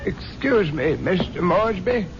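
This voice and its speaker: An actor playing a formal, deferential servant in an old radio mystery, polite and proper, mildly worried. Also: male voice, high-pitched, expressive, measured pace, over loud music. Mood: apologetic concern. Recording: muffled vintage radio recording, faint noise.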